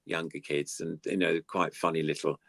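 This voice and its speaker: Older male, deep voice, dry sound, casual reminiscing puppeteer guest, enthusiastic and rambling. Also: level tone, moderate pace, vocal fry, fairly bright tone, dry recording. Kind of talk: casual chat, reminiscing about old TV puppetry.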